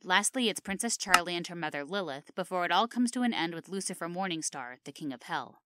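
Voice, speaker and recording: Woman playing surly, lowish-voiced young hellhound. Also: lively intonation, brisk, heavy background noise, lightly acted. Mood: matter-of-fact. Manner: snappish, sarcastic. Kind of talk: cartoon fan audio drama acting.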